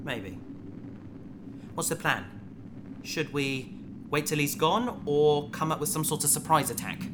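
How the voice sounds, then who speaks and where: A man's voice: fairly high pitch, a little slow, noisy background, close to an everyday voice. A man playing a cocky, bookish young adventurer in a sci-fi audio drama. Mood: hushed, wary. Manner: animated, cocky, wisecracking. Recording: loud music under voice.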